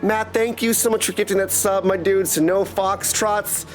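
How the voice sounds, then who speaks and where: A man giving casual, excitable, jokey live commentary as he streams a game. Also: high-pitched, moderate pace, faint music behind. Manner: excitable, jokey, bursts of exclamation.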